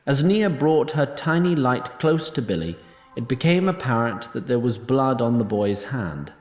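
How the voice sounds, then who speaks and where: A man's voice: medium pitch, animated, a little slow, reverberant, faint music behind. A man narrating a scripted fantasy story in a wry, literary manner.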